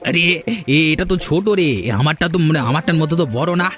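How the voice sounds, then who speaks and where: A man voicing a scheming, bossy village man in a cartoon, with an excitable, hectoring delivery. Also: fairly high pitch, fast, lightly acted. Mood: excited, bossy. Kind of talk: Bengali cartoon voice acting.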